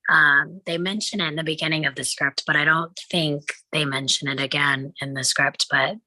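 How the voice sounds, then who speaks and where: A woman's voice: deep voice, fairly even intonation, moderate pace, pushed and bright, no echo, low-quality recording. A woman talking reflectively in a group discussion after a script reading. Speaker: table read participant discussing a film script.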